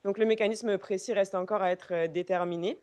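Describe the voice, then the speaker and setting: Une jeune étudiante présente sa recherche en quelques minutes. Elle parle posément et marque souvent des hésitations. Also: female voice, medium pitch, steady tone, mellow, clear, resonant tone. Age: young adult. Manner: frequent fillers, measured delivery. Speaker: graduate student presenter. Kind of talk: short thesis presentation.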